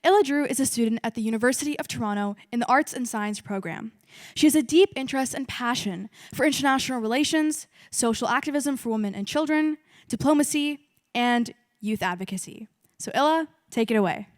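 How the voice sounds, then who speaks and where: Bright-voiced woman emcee, dry sound. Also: on the high side, a little fast, hardly any pauses, no echo. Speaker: event emcee. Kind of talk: scripted introductions.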